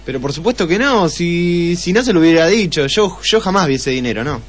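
A man playing a polite, nervous gentleman husband in a comic radio play, formal, evasive and stammering. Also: fairly high pitch, lively intonation, brisk. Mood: nervous, defensive.

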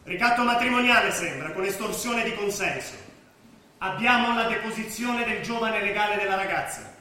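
A hard, officious police brigadier, high and forceful in voice. He is curt and contemptuous, and sounds distant in a very echoey hall. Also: normal speed. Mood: officious coldness. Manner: curt, commanding. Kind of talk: stage drama acting. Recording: echoey theatre stage, distant, faint noise.

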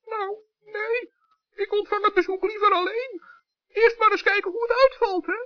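A man voicing a small, naive gnome in a children's radio play, squeaky, childlike, earnest and dithering. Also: fairly even intonation, normal speed. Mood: evasive. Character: small male gnome, naive, curious, hesitant. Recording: dull 1960s radio recording.

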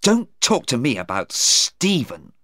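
A man's voice: fairly high pitch, big pitch swings, very slow, dry recording, studio quality, voice pushed up, full character voice. A man voicing a distressed, defensive young woman in an audiobook, hesitant and pleading. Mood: upset, angry. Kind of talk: audiobook character voice.

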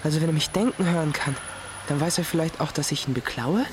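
A naive, curious teenage lad with a streak of defiance acted by a man in a radio play. His voice sits somewhat high, with noticeable ambient sound around it. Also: fast talker, natural voice. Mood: anxious, puzzled. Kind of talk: radio drama dialogue and inner thoughts. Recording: noisy background ambience.

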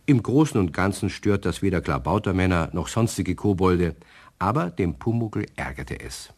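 A man narrating a German radio play as a storyteller, with a deep, husky voice and expressive scripted delivery. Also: moderate pace.

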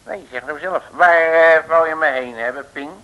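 An actor playing a grumbling, folksy detective who blusters and mutters in a 1960s radio serial. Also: male voice, on the high side, normal speed, narrow, tinny audio, slight background noise, natural voice. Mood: blustering. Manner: hesitant, colloquial blustering with muttered asides.

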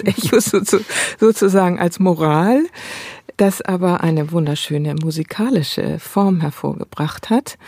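Low, mellow-voiced female scholar. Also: no echo, clean studio sound. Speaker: interview guest, literary and cultural scholar. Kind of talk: academic interview, explaining ideas.

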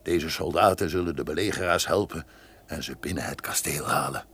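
An actor playing a scheming, vengeful count in a radio drama, speaking in a deliberate, insinuating way with a gravelly bass voice. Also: male voice, a bit bright, exaggerated voice. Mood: plotting. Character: scheming, resentful count bent on revenge. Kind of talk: Dutch radio drama acting. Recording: noisy old radio play recording.

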